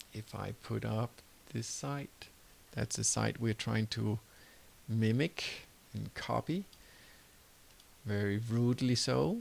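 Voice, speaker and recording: A man with a Danish accent talks a viewer through building a website, stopping to fix his own slips and starting over. His voice sits fairly low and has a gravelly edge. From Denmark. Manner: loose, self-correcting, apologizes for slips. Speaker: web design course instructor. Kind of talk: screen-recorded tutorial and course pitch.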